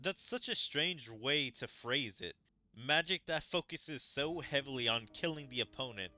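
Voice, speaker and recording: A man's voice: mid-range voice, expressive, normal speed, pushed and bright, no room sound. A man streaming a game, reading story dialogue aloud expressively and adding his own commentary over game music. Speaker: gaming streamer.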